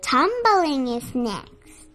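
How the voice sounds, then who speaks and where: Storybook reader for kids, high voice, unhurried expressive delivery, music underneath. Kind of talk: read-aloud storytelling for children.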